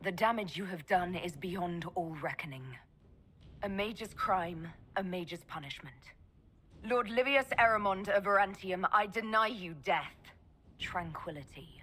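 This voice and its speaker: A woman's voice: mid-range voice, a little slow, a bit bright. An actress playing a stern female elf mage leader who passes judgment coolly and curtly in a game. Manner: cool, curt, decisive. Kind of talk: video game voice acting.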